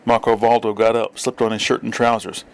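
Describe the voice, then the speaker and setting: A man reading a literary story aloud as narrator, with measured, deliberate phrasing. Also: fairly low pitch, little pitch movement, smooth. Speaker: audiobook reader.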